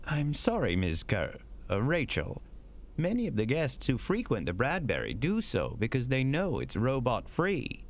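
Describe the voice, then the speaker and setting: A man's voice: mid-range voice, wildly swooping pitch, measured pace. A man playing a formal, defensive hotel manager in an audio drama, speaking with polite, officious stiffness. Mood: politely defensive. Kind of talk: dramatic audio fiction acting.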